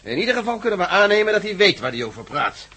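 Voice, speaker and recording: An actor playing a keen, wry civilian companion in a Dutch radio play. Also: male voice, high-pitched, very animated, fast talker, bright, forceful tone, breathy edge, heavily acted.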